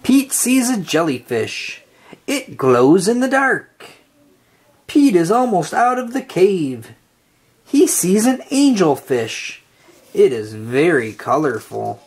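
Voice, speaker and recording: A woman reading a children's picture book aloud with slow, expressive, sing-song delivery. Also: lowish voice, breathy edge. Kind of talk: read-aloud storytelling for kids.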